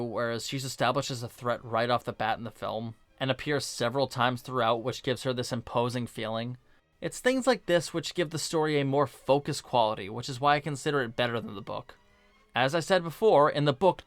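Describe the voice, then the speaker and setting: A man's voice: mid-range voice, a bit bright, clear, resonant tone, no echo. A man narrating a scripted film review as host, with casual, opinionated, conversational asides.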